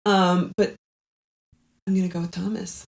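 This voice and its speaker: Female comedian guest, slightly low pitch, casual conversational comedy chat. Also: little pitch movement, measured pace, creaky.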